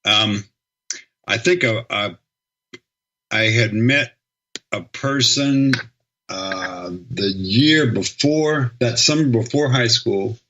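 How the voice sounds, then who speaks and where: A man's voice: on the low side, slow pace, pauses often, fairly bright tone. A middle-aged man from Sacramento, a guest musician rambling through stories in a casual interview, correcting himself as he goes. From Sacramento, California. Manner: rambling, self-correcting storyteller, laughs easily. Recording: slightly dull, lo-fi sound.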